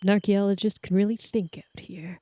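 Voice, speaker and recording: A woman's voice: mid-range voice, steady tone, brisk, warm tone. A woman playing a tabletop roleplaying game, improvising casually in a wry, teasing way.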